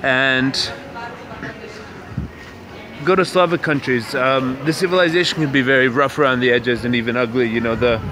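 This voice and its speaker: A man's voice: mid-range voice, fairly even intonation, brisk, a crowd in the background. A young man from Canada hosting a casual walking vlog, musing aloud with many fillers and restarts. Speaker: vlog host. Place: outdoors on city streets. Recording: outdoor street noise.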